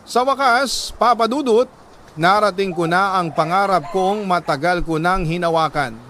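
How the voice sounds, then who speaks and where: A man with a Filipino accent reads a letter as a dramatic first-person story, in a measured, emotive way with drawn-out pauses. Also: fairly high pitch, a bit bright, a little hiss or hum. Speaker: radio storyteller reading a listener's letter.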